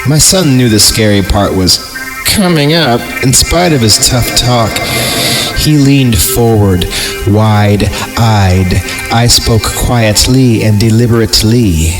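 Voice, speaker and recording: A man's voice: medium pitch, slow, low-quality recording. An American man hosting a podcast, reading a story aloud in a mock-dramatic style with irreverent, joking asides. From Fresno, California. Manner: irreverent, jokey. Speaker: podcast host reading horror stories aloud. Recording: music bed behind the voice. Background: music.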